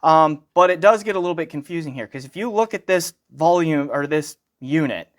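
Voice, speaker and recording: A man's voice: a little high, lively intonation, a little fast. An American man giving a casual hands-on product review, rambling a little and correcting himself as he goes. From United States.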